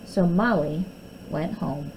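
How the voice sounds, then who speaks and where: A woman's voice: low voice, slow, vocal fry, a little mellow, slight background noise, poor audio. A woman reading a children's storybook aloud as narrator. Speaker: storybook reader.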